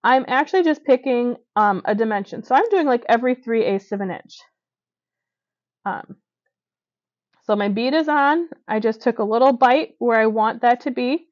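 A woman's voice: a little high, animated, normal speed, dry recording, lo-fi sound. A woman demonstrating a beaded edging stitch step by step, talking as she works with fillers and small self-corrections. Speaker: stitching instructor. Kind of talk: hands-on craft demonstration. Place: indoors at a table.

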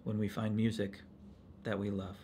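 A man's voice: on the low side, flat delivery, normal speed, mellow, slight background noise, voice pushed down, close to an everyday voice. A man voicing a sensitive, clumsy storybook giraffe in an earnest, emotional manner. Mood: serene.

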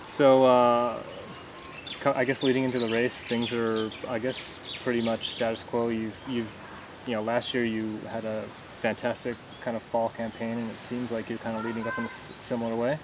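A man in his thirties hosting a casual interview, with halting, easygoing phrasing. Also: medium pitch, level tone. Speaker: interviewer, host. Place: outdoors on a garden bench. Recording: noisy outdoor recording, slightly distant mic.

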